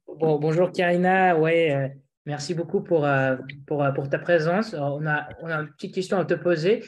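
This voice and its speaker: A man with a slightly high voice moderates an online meeting. He is polite and informal, often stopping and restarting as he talks. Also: moderate pace.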